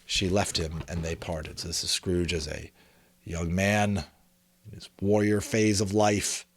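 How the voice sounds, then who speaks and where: A man reading an audiobook as a dramatic, expressive storyteller, with casual asides. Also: low voice, measured pace, breathy edge. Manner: expressive, theatrical. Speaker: amateur audiobook narrator.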